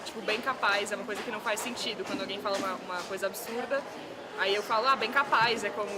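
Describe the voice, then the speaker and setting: A woman from Brazil with a Pará accent, explaining local expressions in a quick street interview. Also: mid-range voice. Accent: Pará Portuguese. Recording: loud crowd and noise in public space.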